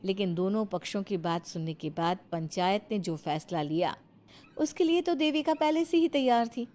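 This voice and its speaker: A woman's voice: mid-range voice, animated, normal speed, darkish tone. A woman storyteller giving a scripted, dramatic narration of a moral tale.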